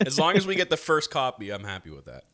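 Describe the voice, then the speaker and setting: A man's voice: medium pitch, wildly swooping pitch, fast, pushed and bright, dry recording. A man chatting casually as a co-host and analyst on a hockey podcast. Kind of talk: casual sports podcast chat.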